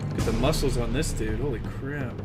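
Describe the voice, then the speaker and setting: A man's voice: medium pitch, fairly quick. A man giving casual let's play commentary with wry, offhand asides. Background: game music.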